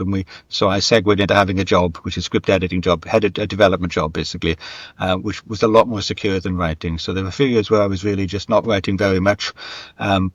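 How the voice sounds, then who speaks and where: A mature man with a low-pitched voice speaks as an interview guest. He talks in an anecdotal, conversational way, voicing imagined dialogue and repeating words for emphasis. The sound is dry and a little dull, with very little room in it. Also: no echo. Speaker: writer and script editor interviewed as guest.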